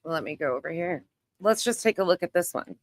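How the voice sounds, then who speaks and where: Give a low-pitched woman's voice recorded close and dry. She speaks as an online creator doing off-the-cuff live commentary, repeating phrases for emphasis and narrating her own steps as she goes. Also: lively intonation, brisk, no room sound. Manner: narrates own actions while browsing. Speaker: YouTube creator, host. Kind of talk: casual live commentary, screen share.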